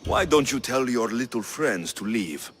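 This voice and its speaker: An actor voicing a bold, wry, commanding Greek mercenary captain in a video game. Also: male voice, mid-range voice, expressive, a little slow, a bit bright, soft background music. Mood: wry, flirtatious. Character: bold, flirtatious, stern male Greek mercenary ship captain. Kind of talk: video game character acting.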